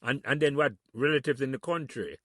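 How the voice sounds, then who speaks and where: A man's voice: medium pitch, a little fast, a bit bright, dry recording, clean studio sound. A man from Jamaica, hosting an interview and asking long, rambling questions. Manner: rambling questions, stammering starts. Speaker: interview host. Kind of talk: casual interview.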